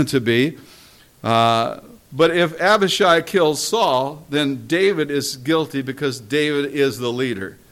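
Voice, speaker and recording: A man preaching a sermon and telling his own story, earnest and emphatic, often clearing his throat and pausing on "uh". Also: mid-range voice, a bit bright. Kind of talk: sermon with personal testimony.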